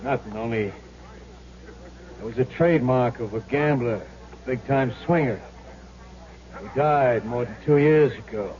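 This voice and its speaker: Actor as a tough, dark-voiced casino boss. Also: male voice, mid-range voice, a little slow, long pauses, close to an everyday voice. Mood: probing. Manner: blunt, clipped, interrogating. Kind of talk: old-time radio drama acting. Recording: dull 1970s radio recording.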